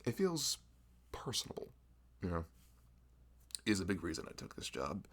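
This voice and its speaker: A man's voice: low-pitched, big pitch swings, moderate pace, fairly bright tone, full character voice. A man voicing a friendly, nerdy young florist in an ASMR roleplay, rambling, laughing often and teasing himself. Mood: chatty.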